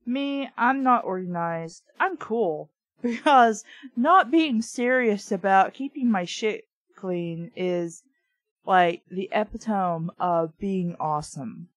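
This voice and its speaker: A woman's voice: medium pitch, lively intonation, slow pace, no echo. A woman reading a book aloud casually, with blunt, sweary, opinionated off-the-cuff commentary. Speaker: reader and commentator of a children's book.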